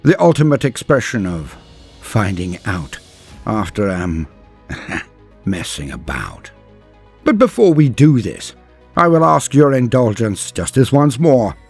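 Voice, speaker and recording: A man's voice: fairly low pitch, big pitch swings, unhurried, a little mellow, slightly rough, faint music behind. A man narrating as a video host from a script, with formal, theatrical phrasing.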